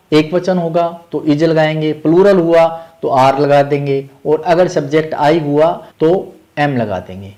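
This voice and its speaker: An Indian man with a slightly high voice gives a grammar lesson. He is methodical and instructive, restating each key point and dropping English terms into his explanation. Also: warm tone.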